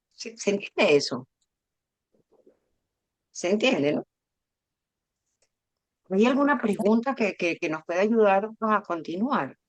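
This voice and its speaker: A woman born in Venezuela and raised in Madrid, teaching a spiritual workshop over a video call in an expressive, storytelling way. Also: medium pitch. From Venezuela and Spain. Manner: expressive, warm storyteller who acts out dialogue. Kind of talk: informal spiritual talk.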